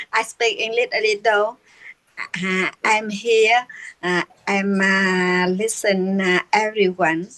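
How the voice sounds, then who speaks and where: A woman with a Vietnamese accent speaking slowly and hesitantly as a class participant on a video call. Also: medium pitch, fairly even intonation, fairly bright tone. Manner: slow, hesitant, shy.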